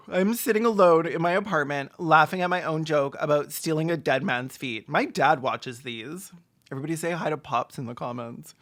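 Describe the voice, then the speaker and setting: A mid-pitched commentator delivers sarcastic film commentary. The talk moves between deadpan asides and mock-dramatic emphasis, played for comedy. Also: normal speed. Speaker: video commentator.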